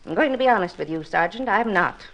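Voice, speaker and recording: An actress playing a bitter, controlling older widow in a 1950s radio drama, haughty and blunt. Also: female voice, medium pitch, lively intonation, fast talker, a bit bright, muffled recording. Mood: bitter, demanding. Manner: haughty, blunt, self-pitying.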